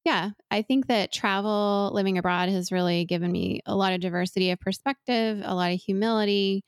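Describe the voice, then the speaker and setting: Woman, forties, raised in Venezuela; earnest, reflective guest, lowish voice. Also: no echo, clean studio sound. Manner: earnest, thoughtful, with frequent restarts. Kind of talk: reflective interview conversation.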